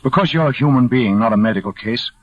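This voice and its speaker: Casting: male actor as wise older blind man, husky voice, reassuring and measured, on a dull old radio recording. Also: medium pitch, animated, no room sound, natural voice. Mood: calm, reassuring. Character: gentle, philosophical older blind man, former pilot. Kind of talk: 1940s radio drama acting.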